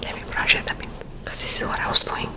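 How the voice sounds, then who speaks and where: Softly whispering woman, close to the mic. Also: fast. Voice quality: soft unvoiced whisper. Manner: chatty, rambling asides between reading. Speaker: ASMR creator. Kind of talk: whispered ASMR reading. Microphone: close to a sensitive mic.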